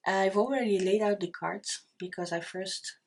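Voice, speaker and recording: A middle-aged woman with a Dutch accent, giving an unscripted tarot reading, talking slowly and hesitantly with many fillers and restarts. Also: mid-range voice. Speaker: tarot reader.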